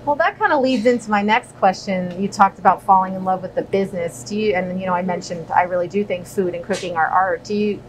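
A woman's voice: fast, hardly any pauses, poor audio. A woman in her forties hosting a casual interview, chatty and a little rambling in her questions. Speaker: interviewer, host. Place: indoors in a deli, seated at table. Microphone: lapel. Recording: faint background rumble and hum.

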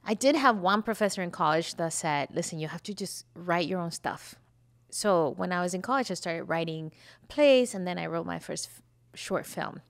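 Lively Venezuelan-raised woman guest. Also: fairly low pitch, normal speed, vocal fry. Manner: animated, laughs often, acts out quotes. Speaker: film studio founder, guest. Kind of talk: conversational interview. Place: podcast studio with a long wooden table. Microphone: desk mic on a stand.

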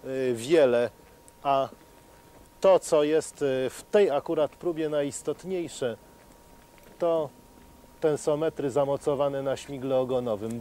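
Brief: middle-aged man, TV presenter, mellow tone, slightly high, measured explanations, faint background hiss. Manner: measured, explanatory, with frequent hesitations. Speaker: TV science presenter. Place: outdoors at a helicopter test stand.

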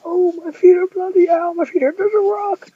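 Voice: extremely high pitch, fairly even intonation, moderate pace, slight background noise, pitched above the performer's own voice. A performer voicing a clumsy hero who keeps getting hurt, whimpering and crying in pain, on a dull lo-fi home recording. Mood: wailing.

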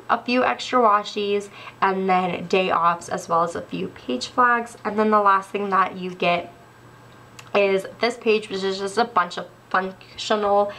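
A young woman showing sticker kits in a casual haul video, gushing and excitable, a little stuffy. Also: mid-range voice, low-quality recording. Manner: gushing, excitable, laughs often. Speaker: planner sticker vlogger. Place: indoors at a wooden table.

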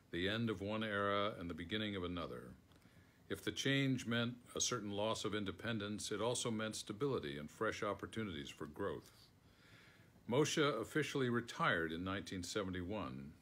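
An older man with an American accent reads a prepared text aloud. His voice sits low, and his delivery is measured. It is recorded indoors on a phone, as if at home. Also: a bit bright. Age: older, around 70. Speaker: foreword author reading aloud. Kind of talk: reading a written foreword aloud. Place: book-lined room at home, indoors.

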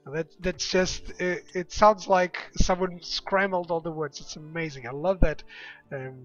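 A man's voice: sits high, fairly even intonation, measured pace. A young man casually chatting with viewers while playing a strategy game, rambling and laughing easily. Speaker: game streamer. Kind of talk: casual let's-play chat with viewers. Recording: slightly dull lo-fi sound with game music.

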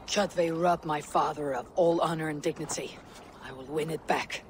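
A woman playing a proud, vengeful young Viking warrior in a game drama, speaking in solemn, measured, archaic phrases. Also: deep voice, fairly even intonation, slight background noise, lightly acted. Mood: grim resolve. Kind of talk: cinematic video game drama.

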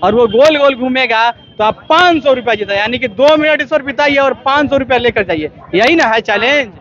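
A man's voice: extremely high pitch, moderate pace. A young man hosting a chatty, teasing vlog from an outdoor fairground, talking into a handheld mic and laughing often. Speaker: vlogger host. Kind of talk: lively on-the-spot fair commentary. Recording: dull lo-fi outdoor audio with loud crowd.

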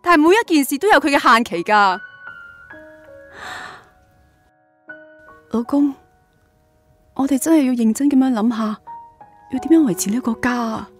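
Young wife and mother, practical and emotional, lively intonation, radio drama. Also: high-pitched, a little fast, soft background music. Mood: pleading. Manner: earnest, reasoning, emotional. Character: practical wife and mother, worried about family finances.

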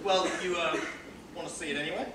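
A man's voice: a little high, steady tone, quick pace, close to an everyday voice. A man acting a cheeky, lecherous, cantankerous old man in a stage comedy, blunt and needling. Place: large theatre stage, recorded from far off. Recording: echoey distant theatre recording with hiss.

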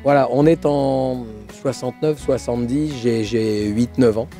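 Hoarse older man hosting a car show, warm low tone, chatty and halting. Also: steady tone, faint music behind. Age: 60s. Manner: hesitant, many fillers and restarts. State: hoarse from laryngitis. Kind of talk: casual storytelling to camera.

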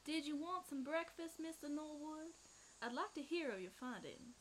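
A woman's voice: sits high, normal speed, a little hiss or hum, natural voice, rough recording. An actress playing a mysterious, anxious woman seeking a detective's help in a noir radio play, earnest and dramatic. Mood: polite. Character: mysterious, anxious, pleading female client of a detective.

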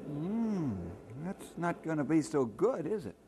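High-voiced earnest young Scotsman. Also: big pitch swings, a little slow, mellow, slight background noise. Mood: friendly, reserved. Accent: Scottish. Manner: hesitant, sincere. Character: earnest, modest young Scottish scout leader. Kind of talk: old radio drama acting.

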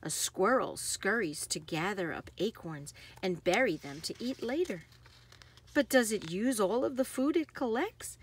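A woman reads a children's picture book aloud expressively, with deliberate phrasing and emphasis on action words. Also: medium pitch, lively intonation, husky. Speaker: read-aloud host.

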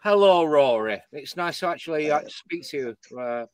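A man from Lancashire, England joining a panel call from home, relaxed and chatty. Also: fairly high pitch, moderate pace, crowd noise. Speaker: panel guest. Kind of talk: casual chat.

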